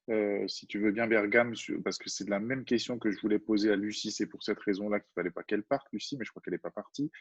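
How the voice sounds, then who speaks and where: A man's voice: fairly low pitch, slightly rough, no echo, highs cut off. A man hosting a panel over a video call, asking questions and rephrasing them with restarts. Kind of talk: moderating a panel discussion.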